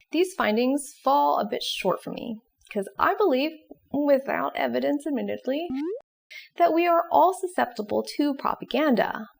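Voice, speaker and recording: A woman presenting educational material in scripted explanatory narration with casual asides, expressive and questioning. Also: a little high, creaky. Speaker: presenter of educational psychology videos.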